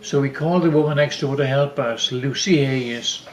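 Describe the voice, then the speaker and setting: An older man reminisces casually in a reverberant room. He rambles through family stories, stopping and restarting phrases as he goes, in a middle-pitched voice. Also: a little hiss or hum. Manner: rambling, halting with repeated false starts. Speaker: father telling family stories. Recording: echoey room.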